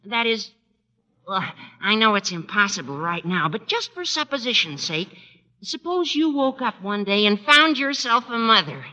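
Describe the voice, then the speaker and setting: An actor playing an eager, bouncy teenage schoolboy with a squeaky adolescent voice in a 1940s radio sitcom. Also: male voice, sing-song, normal speed, bright, forceful tone, heavily acted. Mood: earnest. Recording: dull, reverberant vintage radio recording.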